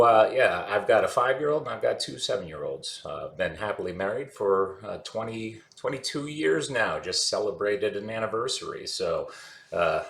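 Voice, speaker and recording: A middle-aged man talks casually to the camera, rambling with many "uh"s and restarts. His slightly low voice has a bright, forceful edge. The room is echoey, with faint music playing behind him. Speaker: channel host. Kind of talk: casual vlog monologue. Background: faint background music.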